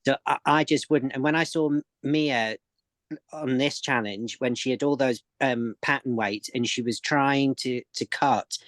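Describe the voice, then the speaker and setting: A man's voice: medium pitch, a little slow, fairly bright tone, no room sound. A man, a sewing expert guest, explaining techniques in casual chat, often repeating words as he goes.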